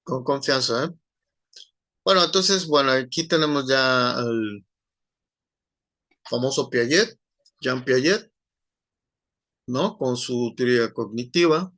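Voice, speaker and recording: Slow, halting male lecturer. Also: medium pitch, lively intonation, a bit bright, no echo. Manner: rambling, many fillers and tag questions. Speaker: teacher. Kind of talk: online class lecture.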